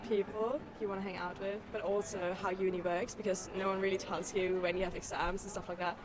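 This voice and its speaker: A woman's voice: medium pitch, level tone, fairly quick, poor audio. Young student woman, easygoing, crowd around. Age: young adult. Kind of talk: casual interview answer. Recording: busy crowd behind.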